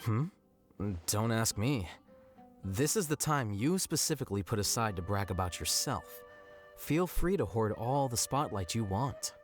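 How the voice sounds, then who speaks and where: A deadpan, sarcastic scholar. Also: male voice, on the low side, unhurried, soft background music. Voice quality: deadpan, dry. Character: dry, sarcastic young male scholar. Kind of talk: game voice acting.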